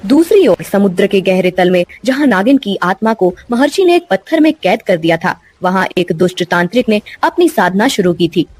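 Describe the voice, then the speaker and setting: A woman's voice: medium pitch, very animated, moderate pace, hardly any pauses, a little mellow, dry recording, faint music behind. A woman narrating a scripted bedtime story as the storyteller.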